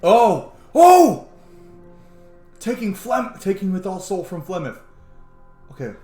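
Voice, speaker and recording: A man's voice: high-pitched, sing-song, takes it slowly, mellow, soft background music. A man doing casual, sweary gameplay reaction commentary as a let's play host, often exclaiming. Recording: roomy echo, clear noise.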